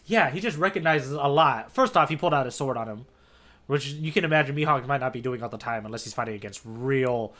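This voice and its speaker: A man's voice: medium pitch, animated, quick pace, bright, forceful tone. A man co-hosting a casual manga readalong podcast, talking with slangy enthusiasm. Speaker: podcast co-host.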